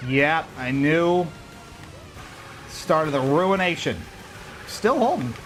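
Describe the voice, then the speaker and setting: A man giving casual, joking commentary while he plays a racing game on a livestream, reacting out loud to every turn. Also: fairly high pitch, animated, slow pace, faint music behind. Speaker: livestream gamer.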